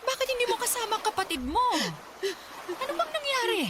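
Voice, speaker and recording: A woman's voice: very high-pitched, very animated, brisk, a loud crowd. A woman playing a worried, scolding but caring middle-aged mother in a radio drama, exclaiming and asking question after question. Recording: loud background noise.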